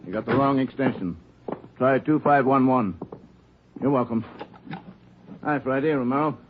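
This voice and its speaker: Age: adult. Figure: a man doing a brisk police desk officer. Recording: tinny, humming. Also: medium pitch, close to an everyday voice. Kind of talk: 1940s radio drama acting. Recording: tinny radio with mains hum.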